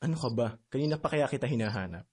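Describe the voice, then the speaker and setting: A man's voice: medium pitch, lively intonation, rapid-fire, darkish tone, a little hiss or hum, lightly acted. A man acting as a caring, teasing older brother in a Filipino radio drama, speaking casually and coaxingly.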